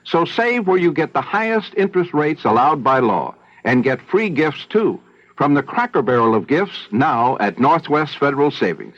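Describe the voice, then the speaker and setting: A man's voice: fairly high pitch, big pitch swings, moderate pace, fairly bright tone, no echo. A man reading a scripted 1970s radio commercial as its announcer. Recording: dull old radio recording.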